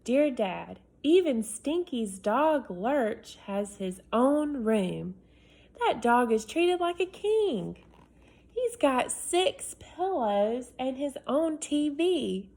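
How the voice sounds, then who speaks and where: A woman's voice: high-pitched, sing-song, very slow, darkish tone, voice pushed up, full character voice. A woman voicing a pushy young boy who writes letters begging his dad for his own room, whiny and overdramatic. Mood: pleading.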